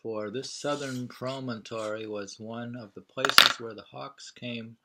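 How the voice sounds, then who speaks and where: A man reading poetry and travel prose aloud informally, in a halting, deliberate way. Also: medium pitch, fairly even intonation, heavy background noise. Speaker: reader of a poetry travel sketch.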